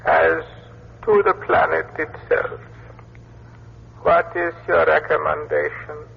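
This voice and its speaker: An actor playing a solemn ancient alien who judges humankind in a radio science fiction drama, with a creaky, husky voice. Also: male voice, on the high side, flat delivery, slow, full character voice. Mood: solemn, judging. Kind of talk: old-time radio science fiction acting. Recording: muffled old radio drama recording.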